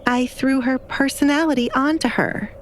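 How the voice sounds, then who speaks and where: A woman's voice: high voice, steady tone, moderate pace, pitched above the performer's own voice, close to an everyday voice. A woman voicing a bitter, defiant young noblewoman in an audio drama, blunt and sarcastic, with light background noise. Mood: blunt, cold.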